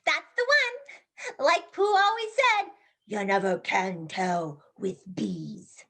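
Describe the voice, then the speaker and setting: Little storybook piglet, extremely high-pitched, timid and wistful, innocent delivery for a darkly comic play. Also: female voice, takes it slowly, fairly bright tone. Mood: innocent, earnest. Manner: plaintive, childlike. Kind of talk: dramatized livestream play reading.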